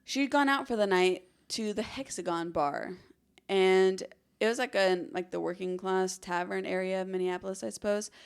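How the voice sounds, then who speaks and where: A woman's voice: medium pitch, normal speed, gravelly, clean tone. A woman co-hosting a podcast, telling true-crime stories in an informal, conversational way. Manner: informal, chatty, acts out imagined remarks. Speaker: podcast co-host.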